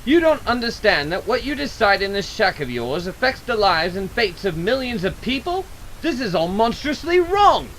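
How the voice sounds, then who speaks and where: A man's voice: high voice, very animated, normal speed, a bit bright, a little hiss or hum, voice pushed up, low-quality recording. A man voicing an exasperated, insistent male interrogator in a dramatic audiobook reading, emphatic and indignant. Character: exasperated, insistent male interrogator demanding answers about the universe.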